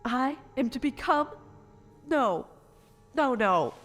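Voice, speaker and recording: A woman playing a wronged, grieving noble parent and reluctant assassin, earnest and formal, in a dark fantasy audio drama. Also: high-pitched, expressive, slow, exaggerated voice. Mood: distressed, indignant.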